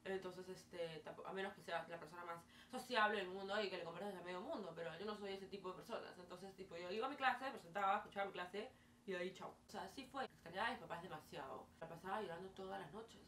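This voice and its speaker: A young woman with a Peruvian accent talks to the camera from her bedroom, chatty and full of fillers as she gestures. Her voice sits a little low for a woman. It is picked up from a few feet away, so the room's echo is clear and a faint hiss runs under it. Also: fast talker. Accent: Peruvian Spanish. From Peru. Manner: chatty, animated hand gestures, frequent fillers. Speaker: vlogger. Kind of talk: casual storytelling to camera. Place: small bedroom, indoors. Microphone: camera mic at a distance. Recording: roomy, distant, faint hiss.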